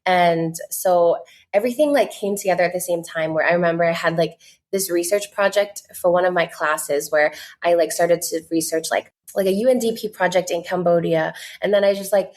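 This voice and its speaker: A woman's voice: on the low side, brisk, darkish tone. A woman, talking casually as a podcast guest, earnest and full of "like" fillers. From United States. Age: 20s. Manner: chatty, many "like" fillers, earnest. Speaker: podcast guest, content creator. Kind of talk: casual interview talk.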